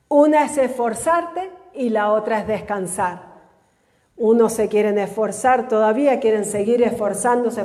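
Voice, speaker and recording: A woman pastor teaching a live church sermon. She reads scripture slowly and has the congregation repeat after her. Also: on the high side, darkish tone. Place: church in a large hall. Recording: echoey church hall with long reverb.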